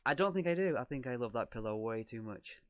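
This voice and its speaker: Soft-spoken Lancashire man chatting. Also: medium pitch, lively intonation, fast talker, no room sound, highs cut off. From Lancashire, England. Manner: self-deprecating, rambling, reads questions aloud. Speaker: streamer. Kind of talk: casual livestream chat answering viewer questions.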